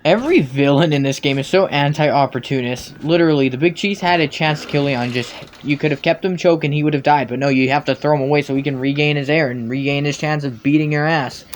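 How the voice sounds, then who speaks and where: A man's voice: on the high side, quick pace, few pauses, slight background noise. A man giving nitpicking commentary on video game cutscenes in a questioning, argumentative way.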